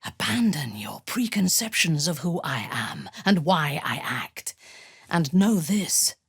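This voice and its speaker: A woman playing a haughty, ruthless dark elf warrior in a video game, speaking in an imperious, deliberate, measured way. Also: low voice, very animated, husky, dry recording, studio quality, full character voice. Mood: poised, intense. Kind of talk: dramatic video game character acting.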